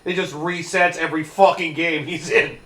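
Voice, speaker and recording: A man's voice: a little high, fairly even intonation, normal speed, a bit bright. A man giving casual, sweary co-commentary on game cutscenes, exclaiming and mocking. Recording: echoey room, faint game music.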